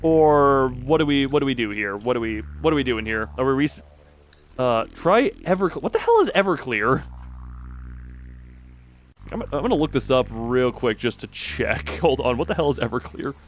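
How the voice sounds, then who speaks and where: A man livestreaming a game with casual, excitable commentary, rambling and thinking aloud to himself. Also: mid-range voice, moderate pace, slight background noise. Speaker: game streamer. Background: music.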